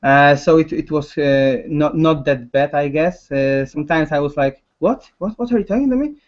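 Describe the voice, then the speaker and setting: A man with a Polish accent, a concept artist speaking English as a second language in a casual chat, halting and often restarting his words. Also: on the high side, highs cut off.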